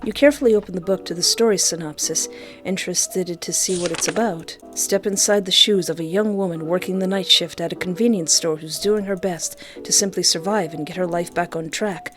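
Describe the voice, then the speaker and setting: A woman reading scripted visual novel narration aloud in an even, storybook-like way, with music behind her. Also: lowish voice, a little fast, lightly acted. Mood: measured.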